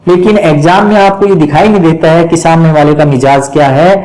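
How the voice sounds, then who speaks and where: A man with an Indian accent and Hindi as his first language gives a grammar lesson. His voice sits a little high for a man. He explains methodically, step by step, repeating instructional phrases and reading examples aloud. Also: fairly even intonation, fairly quick, darkish tone, poor audio. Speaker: English grammar teacher.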